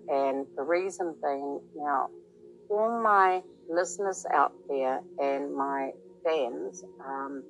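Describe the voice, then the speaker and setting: Woman, new zealand accent, podcast host, low-ish voice, bright tone, rambling chat, faint music behind. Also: highs cut off. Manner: rambling, warm-hearted, many fillers. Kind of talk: casual chat.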